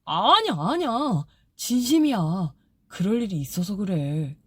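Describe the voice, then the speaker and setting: A woman voicing a gentle old chimney who pleads softly and thanks warmly in a storybook audiobook. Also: medium pitch, very animated, unhurried, slightly rough, dry recording, studio quality. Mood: pleading.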